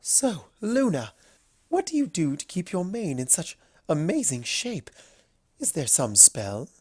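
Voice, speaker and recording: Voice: medium pitch, big pitch swings, measured pace, a little mellow, slightly rough, voice pushed up. A narrator voicing a refined, eager-to-please female unicorn in a storybook reading, with prim, gushing politeness. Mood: curious. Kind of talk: storybook character voice.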